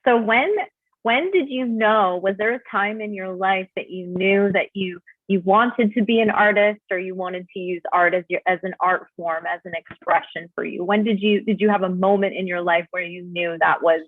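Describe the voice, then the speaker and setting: A woman's voice: medium pitch, fairly even intonation, normal speed, dry recording. Enthusiastic woman host on a tinny line. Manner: enthusiastic, effusive praise. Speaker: interview host. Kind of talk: upbeat interview hosting. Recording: tinny phone-like video call audio.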